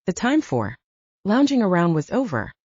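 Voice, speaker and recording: A woman's voice: mid-range voice, huge pitch swings, moderate pace, darkish tone, breathy edge, no room sound, lo-fi sound. A woman narrating an audiobook novel in a scripted storytelling style.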